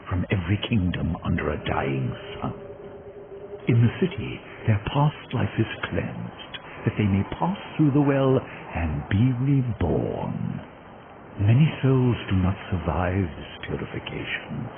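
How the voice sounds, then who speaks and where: An actor playing a sinister undead servant in a video game, mocking and drawn-out in a theatrical way. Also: male voice, low-pitched, creaky, exaggerated voice. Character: sinister, taunting male undead servant of a king. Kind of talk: dramatic video game cutscene acting. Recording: muffled, loud noise behind.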